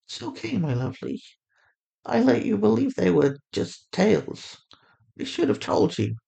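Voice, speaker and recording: A man acts out a tender mother of a teenage girl in an audio story. The voice sits well above a man's usual talk, soothing and loving, with a gentle sorrow. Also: high-pitched, sing-song, normal speed, creaky, voice pushed up, exaggerated voice. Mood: remorseful, soothing.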